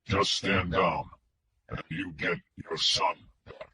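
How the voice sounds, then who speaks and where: A man's voice: sits low, breathy edge, voice pushed down, exaggerated voice. Man voicing a cold, filtered kidnapper voice. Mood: cold menacing. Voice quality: flat, cold and mechanical. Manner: slow, measured threats. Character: menacing male kidnapper speaking through a voice filter. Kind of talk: audiobook narration.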